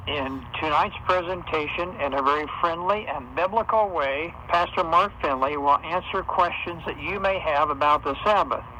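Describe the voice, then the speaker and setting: Male religious radio host, scripted delivery, forceful bright tone, faint shortwave noise. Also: fairly high pitch. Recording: noisy shortwave radio broadcast.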